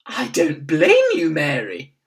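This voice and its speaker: A woman's voice: low-pitched, wildly swooping pitch, slow pace, far from the mic, pitched above the performer's own voice, full character voice. A woman doing a posh, fluttery elderly queen. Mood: bewildered. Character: elderly queen, refined, easily alarmed, upper-class.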